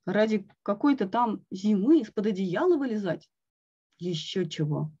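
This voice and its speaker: A woman's voice: mid-range voice, animated, moderate pace, mellow, husky. A woman doing a grumpy, timid toad. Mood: grumbling. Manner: grumbling, then shrieking in panic. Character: grumpy timid male toad who loves his blanket. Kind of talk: storybook reading. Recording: dull lo-fi recording.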